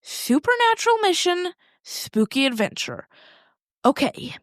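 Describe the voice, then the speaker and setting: Woman voicing an anxious, very high-pitched young girl. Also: very animated, unhurried, no echo, exaggerated voice. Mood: reluctant, nervous. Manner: exclaiming, questioning. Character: anxious, incredulous young girl on spooky adventure. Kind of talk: audio storytelling character voice.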